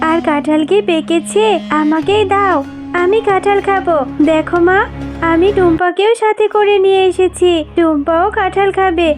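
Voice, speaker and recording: A kind young girl animal from a cartoon, played by a woman. She speaks very high, in an earnest and childlike way. Music is heard behind the voice. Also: fairly even intonation, normal speed. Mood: pleading. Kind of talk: cartoon voice acting.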